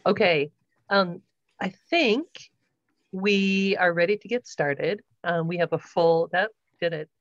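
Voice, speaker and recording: A woman hosting an online short course on a video call, welcoming people and going over house rules with many pauses and ums. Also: on the low side, no echo, dull audio. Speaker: course host.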